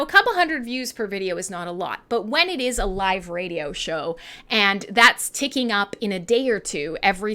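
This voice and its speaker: Bright, high-voiced Canadian woman hosting. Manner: irreverent, sweary, blunt. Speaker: online radio show host. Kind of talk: casual live-stream chat with listeners.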